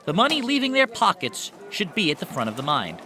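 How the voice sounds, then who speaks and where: A man's voice: high voice, wildly swooping pitch, fairly quick, over music. A man working as a television news reporter, reading a scripted narration.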